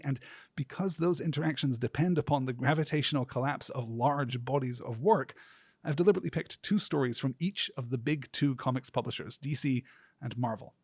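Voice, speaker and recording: A man with a slightly high, husky voice narrates a literary podcast in a close, dry recording. His delivery is scholarly and articulate, with earnest enthusiasm. He phrases things carefully and pauses for emphasis. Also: a little mellow, no room sound. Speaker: podcast host.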